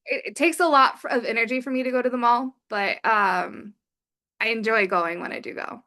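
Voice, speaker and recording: A woman hosting a podcast, chatty and asking quick, friendly questions. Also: a little high, pushed and bright. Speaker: podcast host. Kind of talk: casual interview chat.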